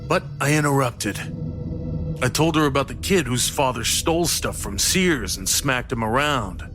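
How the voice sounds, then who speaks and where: A man's voice: mid-range voice, expressive, moderate pace, a bit bright. A man narrating a first-person horror story in a dramatic storytelling style. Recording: music behind the voice. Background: prominent background music.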